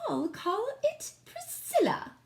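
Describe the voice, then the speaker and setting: A woman voicing a haughty, squeamish queen from a children's storybook in a shrill manner. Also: wildly swooping pitch, slow pace, creaky, heavily acted. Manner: shrill, shrieking.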